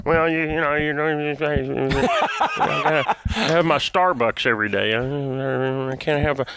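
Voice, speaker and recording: A man's voice: fairly high pitch, huge pitch swings, a little slow, heavy background noise, voice pushed up, heavily acted. A man mocking a whiny, entitled spender who stammers out excuses on a talk show. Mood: whiny protest. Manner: whining, stammering.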